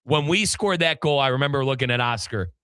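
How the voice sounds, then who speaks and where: Casting: American male soccer podcast host, forceful bright voice, informal and chatty, close dry sound. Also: medium pitch, brisk, clear, resonant tone, no room sound. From United States. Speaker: sports podcast host and play-by-play commentator. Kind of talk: casual, animated soccer discussion.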